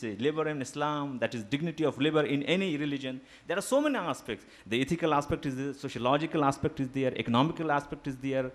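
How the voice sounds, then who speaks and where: A fairly high-pitched man speaks English as an Urdu native, lecturing to a seminar. He talks in a deliberate, academic way and pauses with fillers as he builds his points. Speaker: guest lecturer. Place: seminar hall.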